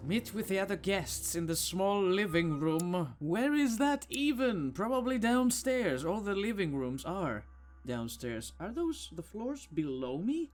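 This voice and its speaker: A man's voice: high-pitched, very animated, a little slow, faint music behind. A man doing casual game commentary, playful, with muttered asides and exclamations. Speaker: gaming video host.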